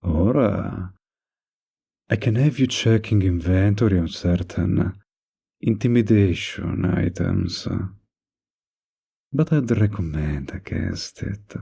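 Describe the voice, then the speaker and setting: A man playing a mafia boss in an intimate roleplay audio, menacing yet kindly, with an unhurried, lightly teasing, flirtatious manner and a gravelly, husky voice. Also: on the low side, expressive, mellow, full character voice. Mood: dry, businesslike.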